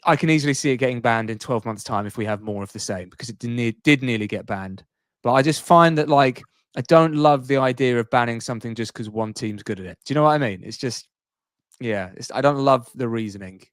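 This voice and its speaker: A man's voice: mid-range voice, brisk, no echo, studio quality. A man co-hosting a podcast, casually debating sport and repeating himself to make his point. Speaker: podcast co-host.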